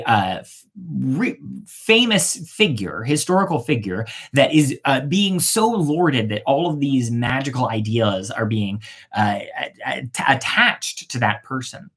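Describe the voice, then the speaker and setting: An expressive storyteller with a husky voice that rises and falls a great deal. Phrases are shaped with dramatic pauses. The manner is casual and playful, as a host sharing fairy tales. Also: medium pitch.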